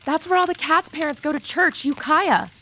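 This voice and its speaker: Woman as a high, bright, chirpy schoolgirl. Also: moderate pace, a little hiss or hum. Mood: eager.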